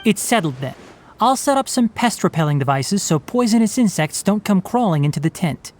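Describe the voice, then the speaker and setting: An actor playing a calm, scholarly young male forest ranger in a video game, speaking in a measured, gently lecturing way. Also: high-pitched, a little hiss or hum.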